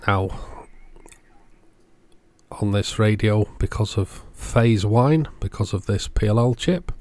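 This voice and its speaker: A man's voice: fairly low pitch, slow pace, a little mellow. A man casually narrating a hands-on repair, explaining each step in a matter-of-fact way. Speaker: host of radio repair channel.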